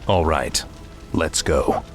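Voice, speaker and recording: An actor playing a grim, weary undead knight prince in a game cutscene, terse and brooding. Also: male voice, very deep voice, slow pace, over music. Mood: grimly resolute. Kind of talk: video game cutscene acting.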